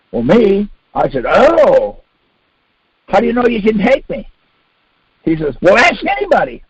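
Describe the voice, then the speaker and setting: A man's voice: a little high, darkish tone, husky, dry recording. An older American man leading a conference call, giving an informal, insistent instructional talk full of rhetorical questions and acted-out exchanges. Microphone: phone conference line. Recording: dull lo-fi conference call audio.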